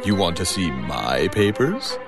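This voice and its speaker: An actor playing a theatrical horror radio show host in an audio drama, speaking in drawn-out patter. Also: male voice, sits low, very animated, music in the background, heavily acted. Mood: sinister. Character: smooth male horror-show host Bob, menacing then pleading.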